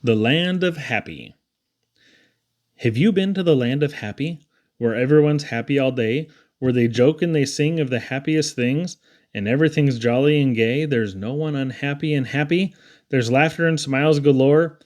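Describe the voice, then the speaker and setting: A man's voice: medium pitch, normal speed, a little mellow. A man reading humorous children's poems aloud in a theatrical, playful storytelling manner. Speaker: poetry reader.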